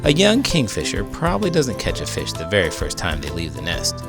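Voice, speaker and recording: A man giving a playful, expressive read-aloud of a picture book. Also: low-pitched, a little fast, creaky, music in the background. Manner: animated, playful storytelling.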